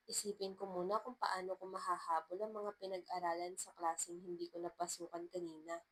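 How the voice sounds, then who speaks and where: A woman's voice: medium pitch, low-quality recording. A woman reading a first-person love story as a scripted narration.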